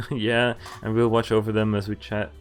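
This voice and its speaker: A man's voice: lowish voice, flat delivery, measured pace, faint music behind. A man streaming a visual novel, casually reading game text aloud and adding informal, jokey commentary. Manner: informal, jokey asides between read lines.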